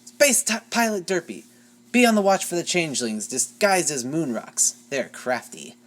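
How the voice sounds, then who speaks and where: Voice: medium pitch, very animated, moderate pace, fairly bright tone, pitched above the performer's own voice. A reader voicing a brash, blustering heroine who is a space commander. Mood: blustery.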